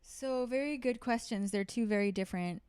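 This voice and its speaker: A woman's voice: a little high, normal speed, darkish tone, clean tone. A woman actor talking casually in an interview, thinking aloud with many fillers and restarts. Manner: thoughtful, with frequent fillers and restarts. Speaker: actor and guest.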